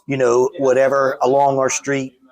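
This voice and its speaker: A man's voice: mid-range voice, completely flat delivery, moderate pace, dry recording, poor audio. An American man hosting a casual on-location interview as a legislator, talking in a rambling way with false starts. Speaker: state legislator and show host.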